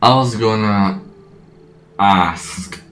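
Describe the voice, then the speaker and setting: A man's voice: fairly low pitch, extremely slow, fairly bright tone. A man giving casual gameplay commentary as a streamer, with muttered, exclamatory reactions. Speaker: game streamer.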